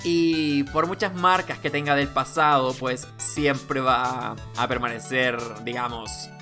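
A man's voice: mid-range voice, steady tone, slow, over music, pitched below the performer's own voice. A man voicing an earnest, reassuring young defense lawyer in a game.

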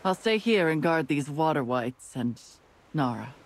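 A woman's voice: low-pitched, animated, a little slow, full character voice. A woman voicing a stoic, commanding young pirate captain in a video game, restrained and authoritative. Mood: calm, commanding.

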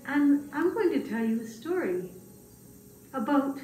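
A woman puts on a humble old man telling his story in a puppet show. The voice is rather high and very animated, halting and easily flustered. It sounds roomy and set back from the mic. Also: faint music behind. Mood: genial, storytelling. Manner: hesitant, halting, with many pauses. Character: gentle old male grass cutter, humble and easily flustered. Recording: roomy, distant mic, faint noise.

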